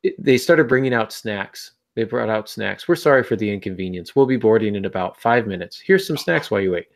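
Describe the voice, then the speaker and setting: A man's voice: lowish voice, expressive, a little fast, gravelly. A man hosting a podcast in casual chat, with a wry, anecdotal way of talking. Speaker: podcast host.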